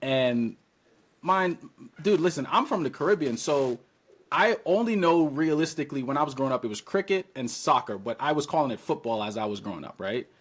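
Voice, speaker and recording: A man in his thirties from Trinidad and Tobago, a podcast guest chatting casually and telling lively stories in which he acts out his own past words. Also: fairly high pitch, a little fast, husky.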